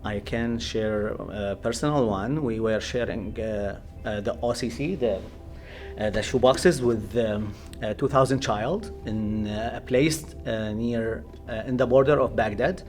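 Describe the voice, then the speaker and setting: A man from Iraq with an Iraqi accent, a pastor giving personal testimony in a halting way, searching for words. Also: fairly low pitch, darkish tone, faint music behind.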